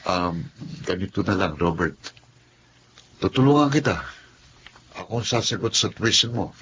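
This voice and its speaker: A loyal, generous young man, played by a man in a radio drama. His voice is very low and steady, with a faint hiss under it. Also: moderate pace, poor audio.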